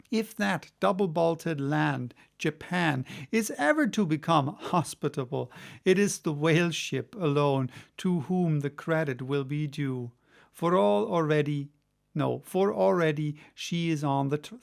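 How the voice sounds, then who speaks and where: A man reading a classic novel aloud in an expressive, emphatic, oratorical way. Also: fairly high pitch, gravelly. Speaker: audiobook reader.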